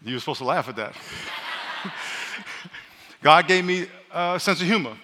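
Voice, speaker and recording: A pastor preaching a sermon, loud and joking, calling on his congregation to answer back. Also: on the high side, lively intonation, unhurried, a little hiss or hum. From New York. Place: large church hall. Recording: live sermon in a reverberant hall.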